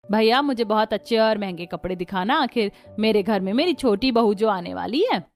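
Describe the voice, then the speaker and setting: A woman's voice: medium pitch, normal speed, natural voice. A woman playing a kindly middle-aged mother in a moral story, reassuring and persuasive. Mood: eager. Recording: music under the voice.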